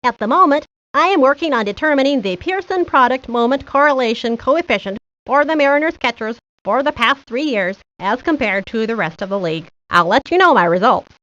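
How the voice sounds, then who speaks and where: A woman's voice: high-pitched, animated, fairly quick, few pauses, a bit bright. A woman voicing a serious, nerdy child in a video game, with a studious, formal manner. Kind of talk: video game character lines. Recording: dull lo-fi old game audio.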